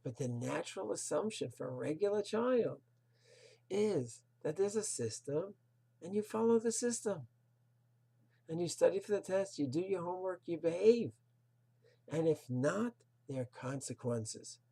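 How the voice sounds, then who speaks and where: A rabbi delivers a Torah lesson in a husky, slightly breathy voice. His tone is dark and mellow, earnest and moralizing, and he leans on rhetorical questions and Hebrew terms. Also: sits high, lively intonation, measured pace. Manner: sprinkles Hebrew and Yiddish terms. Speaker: rabbi teaching a Torah class. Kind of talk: recorded religious lecture.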